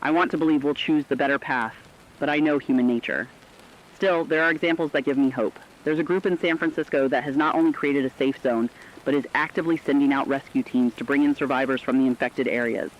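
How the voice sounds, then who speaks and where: Guy acting as a troubled radio cohost in a zombie apocalypse drama, solemn, mid pitch, kinda dull lofi audio. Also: steady tone, fairly quick, slight background noise, close to an everyday voice. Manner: earnest, solemn, reflective. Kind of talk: scripted dramatic radio commentary.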